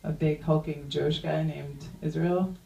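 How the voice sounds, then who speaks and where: A woman's voice: deep voice, fairly even intonation, normal speed, gravelly, dark tone, reverberant, recorded from a distance, slight background noise, rough recording. A young woman doing a stand-up comedy set in a chatty, self-mocking way, full of "like" asides. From Iranian-American. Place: comedy club stage.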